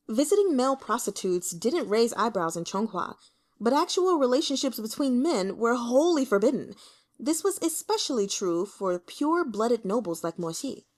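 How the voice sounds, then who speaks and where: A woman reading a fantasy novel aloud as dramatic, expressive narration. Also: on the high side. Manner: dramatic, storytelling. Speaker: audiobook reader.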